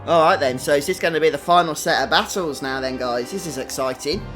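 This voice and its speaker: A man's voice: a little high, brisk, a bit bright, soft background music. A man with a British accent giving casual live gameplay commentary, chatting with viewers as he hosts a stream. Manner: chatty, greets viewers individually. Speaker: game livestream host.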